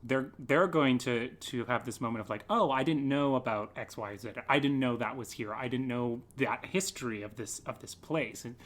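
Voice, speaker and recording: A man's voice: mid-range voice, moderate pace, hardly any pauses, fairly bright tone. A man speaking casually as an interview guest on a podcast. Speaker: podcast guest, theatre maker.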